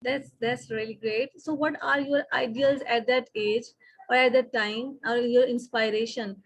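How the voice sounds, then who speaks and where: A woman hosts an interview over a video call. She speaks haltingly and searches for her words as she asks her questions. Her voice sits somewhat high, recorded dry and close with no room echo. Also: fairly even intonation. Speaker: host. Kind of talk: interview hosting.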